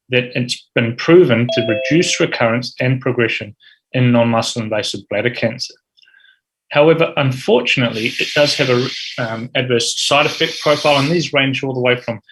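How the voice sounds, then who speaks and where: A man's voice: medium pitch, fairly bright tone, slight background noise, low-quality recording. A man in his thirties with a New Zealand accent, giving an academic medical presentation with frequent ums and uhs. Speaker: urology fellow giving grand rounds talk.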